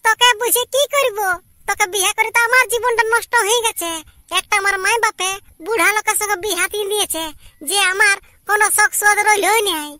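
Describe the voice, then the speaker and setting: A scheming, scornful young wife in a homemade cartoon. Her filtered voice is extremely high and piercingly bright. She nags bluntly in a Purulia Bengali accent. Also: steady tone, fast, no room sound. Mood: scornful, nagging. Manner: blunt, nagging. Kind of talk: amateur cartoon dialogue.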